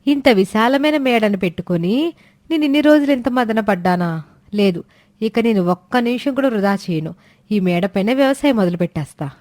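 A woman's voice: medium pitch, animated, moderate pace, darkish tone, natural voice. A woman voicing an earnest young daughter-in-law. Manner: earnest, respectful. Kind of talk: animated storybook voice acting.

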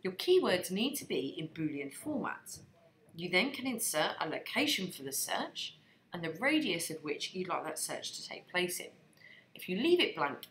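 A woman narrating a scripted software training tutorial in an instructional manner, explaining things step by step. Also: lowish voice, slight background noise.

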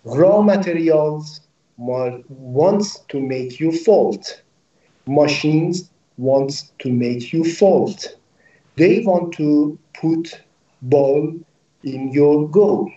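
A man in his forties from Iran with a Persian accent, a native Persian speaker explaining things as an interviewed company director in deliberate, halting English on a video call. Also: a little high, dark tone. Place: indoor office room with hard ceiling. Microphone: video call, laptop at desk distance. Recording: echoey, distant, muffled video-call audio.

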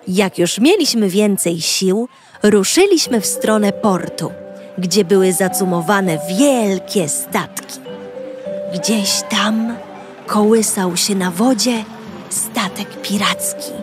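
A Polish-accented woman telling a children's audio story in the first person as a cheerful young girl. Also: medium pitch.

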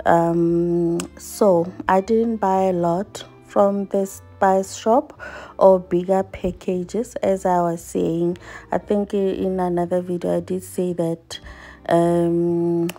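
A woman's voice: lowish voice, mellow. A woman from South Africa in her thirties, chatting earnestly to her viewers while washing up in her home kitchen. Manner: earnest, reflective, speaks while washing dishes. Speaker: vlogger. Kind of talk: casual chat to viewers while doing chores.

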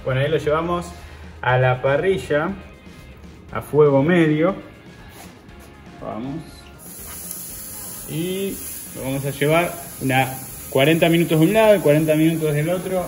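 A man in his thirties with an Argentine accent, hosting a cooking show and calmly talking viewers through each step as he works. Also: a little high, measured pace, pauses often, faint music behind. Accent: Argentine Spanish. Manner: talks viewers through steps while working with his hands. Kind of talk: casual cooking demonstration. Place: outdoor grill patio.

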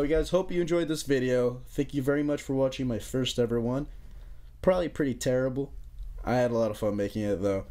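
A man's voice: mid-range voice, a little mellow. Young American man, casual wry narration. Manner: informal, wry asides. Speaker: narrator of an amateur history explainer video. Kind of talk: casual, joking scripted narration.

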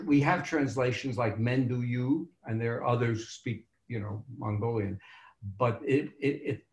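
An older man speaks from his home over a video call, frank and a little rambling, doubling back on his words. His voice sits at an ordinary pitch. The room around him gives it a roomy echo. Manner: rambling, frank, with self-corrections and chuckles. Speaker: filmmaker panelist. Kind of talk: casual panel discussion. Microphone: laptop webcam mic on video call.

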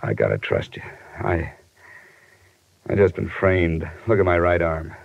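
An American man playing a tough, weary private investigator in a 1950s radio drama, clipped and deadpan. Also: low voice, measured pace, creaky, exaggerated voice. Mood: urgent. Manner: clipped, hard-boiled, deadpan. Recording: muffled vintage radio recording, music behind.

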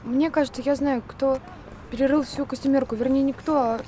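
A woman's voice: high voice, steady tone, a little fast, dark tone, a little hiss or hum, close to an everyday voice. A woman playing an anxious, protective and hesitant young character in a screen drama.

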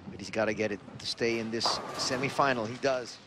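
A man's voice: mid-range voice, fairly even intonation, brisk. A man giving play-by-play commentary on a bowling match, his calls rising on the key shots. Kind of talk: sports commentary. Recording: loud broadcast background noise.

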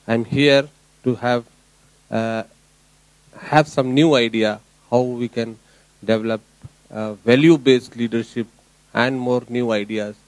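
A man's voice: mid-range voice, darkish tone, dry recording, low-quality recording. A man from India who directs scout leader training, introducing himself formally at a workshop.

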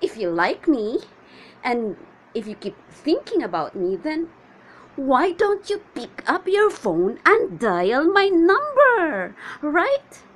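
A woman performing a comedic monologue as a needy, chatty woman waiting on a suitor, with theatrical exasperation and drawn-out questions. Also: high voice, wildly swooping pitch, heavily acted. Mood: indignant.

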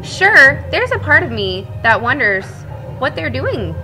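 A woman voicing a chatty, cheerful male egg in a storybook read-aloud, with bouncy, expressive delivery. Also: high-pitched, measured pace, soft background music, voice pushed up, heavily acted.

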